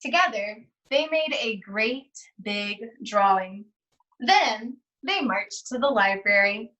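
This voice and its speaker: A woman teacher reading a children's storybook aloud, with deliberate, expressive phrasing and pauses. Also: fairly high pitch, far from the mic, muffled recording.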